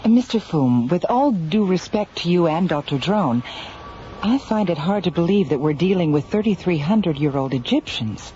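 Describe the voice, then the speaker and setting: A woman's voice: on the low side, animated, moderate pace, dark tone, a little hiss or hum, lightly acted. A woman playing a level-headed, skeptical scientist in a radio drama, with a no-nonsense, questioning manner. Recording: dull radio recording, music behind.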